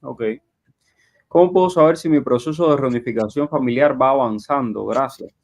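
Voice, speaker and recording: Male live Q&A host, medium pitch, conversational, reads viewer questions out loud. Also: normal speed. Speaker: live show host and journalist. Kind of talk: live Q&A, reading audience questions aloud.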